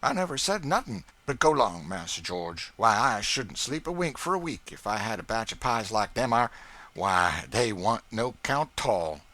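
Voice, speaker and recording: A proud, scolding older woman cook who brags about her baking in a folksy drawl, put on by a male reader. The voice sits high and loud, swooping up and down as she fusses. A storybook figure full of bustle and scorn. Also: sing-song, normal speed. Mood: scornful boastful. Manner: scolding, boastful folksy dialect. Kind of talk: audiobook character voice.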